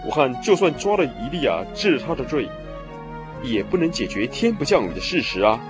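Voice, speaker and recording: An actor playing a devout, anxious palace steward in a radio drama, speaking earnestly and deferentially. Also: male voice, mid-range voice, very animated, moderate pace, over music, natural voice. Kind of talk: Mandarin radio drama acting.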